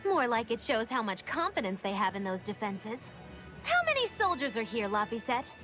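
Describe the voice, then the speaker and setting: A woman's voice: fairly high pitch, big pitch swings, moderate pace. A woman voicing a mischievous, bossy young magician troupe leader in a video game, with pompous, florid showmanship. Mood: teasing. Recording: loud music behind the voice.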